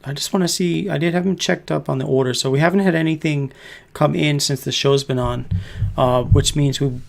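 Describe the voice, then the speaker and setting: A man's voice: a little high, steady tone, brisk, gravelly. A man in his fifties hosting a casual livestream chat, rambling and laughing often. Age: 50s. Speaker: host.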